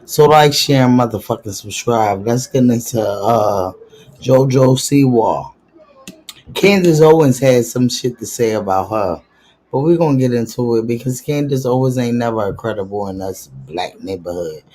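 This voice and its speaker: A male vlogger with a mid-range voice, running a gossip commentary show. He talks in loud slang, swears freely and urges viewers to like and subscribe. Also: mid-range voice, expressive, moderate pace, low-quality recording. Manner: hyped, profane, slangy. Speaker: vlog host. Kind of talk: commentary vlog.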